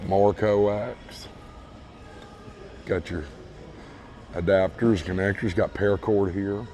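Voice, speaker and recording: A hoarse man losing his voice, casually walking viewers through a large store and calling them folks. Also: fairly low pitch, steady tone, slow, a little mellow. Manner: easygoing, repeats praise, addresses viewers as folks. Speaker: vlog host touring a store. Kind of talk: casual walkthrough narration. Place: large retail store indoors. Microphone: handheld phone camera.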